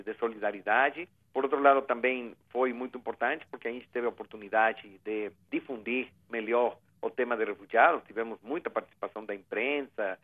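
A man giving a phone interview, speaking earnestly with many hesitations and restarts. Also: medium pitch. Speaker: interviewee, refugee agency representative. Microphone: telephone. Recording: tinny phone line.

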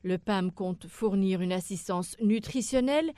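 A woman's voice: medium pitch, a little slow, mellow, clear, resonant tone, no echo. Female news reporter, very dry sound. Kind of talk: scripted news report narration.

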